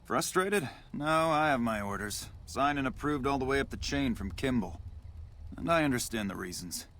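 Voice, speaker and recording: Frustrated army officer, male, mid-pitched, clipped, emphatic, dutiful, bureaucratic, video game dialogue. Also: animated, normal speed, a bit bright. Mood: resigned, weary. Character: weary, frustrated military outpost commander, dutiful officer.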